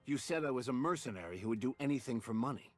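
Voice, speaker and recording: A man voicing a weary but idealistic military commander in a game, husky, grave and measured. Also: mid-range voice, expressive, faint music behind, lightly acted. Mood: wry. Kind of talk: scripted game voice acting.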